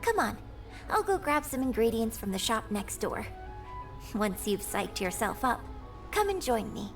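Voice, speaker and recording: A woman playing a friendly young female singer in a video game, speaking in a gentle, chatty, politely playful way. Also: on the high side, very animated, unhurried, distant mic. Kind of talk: video game character dialogue. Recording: game audio with music behind.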